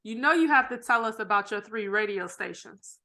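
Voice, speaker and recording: A woman with a high, bright, forceful voice hosts a casual podcast interview. She talks with eager exclamations and easy slang. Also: lively intonation, fairly quick. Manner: enthusiastic, exclamatory, colloquial. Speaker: podcast host.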